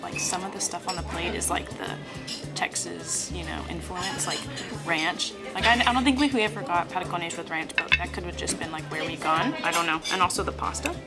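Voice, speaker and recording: A young woman co-hosting a travel food vlog, upbeat and chatty, talking to a handheld camera in a car and a small restaurant. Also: lowish voice. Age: young adult. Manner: upbeat, chatty, trails off into fillers. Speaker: travel vlogger co-host. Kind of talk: casual vlog chat.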